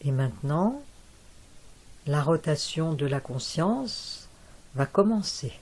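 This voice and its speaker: A woman guiding a yoga nidra relaxation in a soft, calm voice with long pauses. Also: low voice. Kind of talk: guided relaxation.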